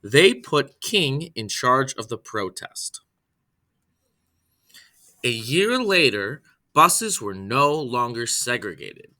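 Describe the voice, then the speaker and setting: A man with a very bright, forceful voice reads a children's biography aloud. His pitch sits in an ordinary middle range but moves in a lively way. He takes the text deliberately, one phrase at a time. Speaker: reader, storyteller.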